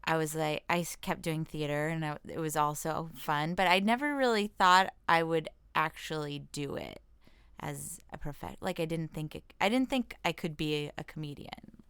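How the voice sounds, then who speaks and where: An American woman talks as a guest in a casual interview. Her voice is low and very smooth, recorded close and dry. She is informal and self-deprecating, working out her answers aloud. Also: moderate pace, fairly bright tone, no echo. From Washington state, United States. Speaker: comedian and actress, interview guest. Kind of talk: casual chat.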